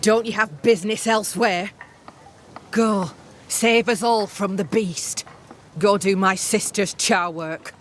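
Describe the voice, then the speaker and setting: A woman's voice: medium pitch, takes it slowly, slight background noise, close to an everyday voice. An actress playing a proud, bitter young woman in a game cutscene, scornful and blunt. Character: bitter, proud young woman camp settler, resentful of her sister. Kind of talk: dramatic game cutscene acting.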